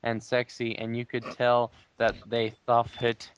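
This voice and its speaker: A man with a smooth, clear voice takes his time, wry and deliberate as he banters and reads fan fiction aloud. The mic is close and dry, slightly muffled and lo-fi. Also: medium pitch, monotone. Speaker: player in a dramatic group reading game. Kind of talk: casual banter and dramatic reading of fan fiction.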